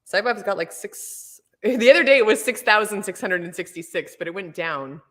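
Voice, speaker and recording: A woman chatting casually with a low voice, rambling with lots of fillers and laughing often. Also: lively intonation, moderate pace, pushed and bright, big-room sound. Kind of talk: casual chat.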